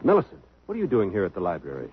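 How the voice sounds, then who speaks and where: A man's voice: low-pitched, huge pitch swings, rapid-fire, husky, heavily acted. An actor playing an earnest young man in love in a 1950s radio drama. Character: young earnest soda jerk, the sweetheart. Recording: thin tinny old radio broadcast.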